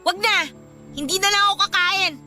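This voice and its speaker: Very high-pitched, snappish Filipino girlfriend. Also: normal speed. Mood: angry, exasperated. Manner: snappish, scolding, exasperated. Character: irritable, fed-up live-in girlfriend, sharp-tongued and cold. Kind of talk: Filipino radio drama acting. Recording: music behind the voice.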